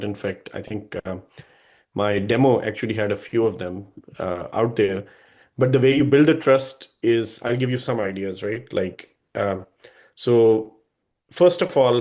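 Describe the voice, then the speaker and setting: A man's voice: lowish voice, darkish tone. A man giving a talk to business owners as a guest speaker, offering earnest, direct advice and often correcting himself mid-sentence.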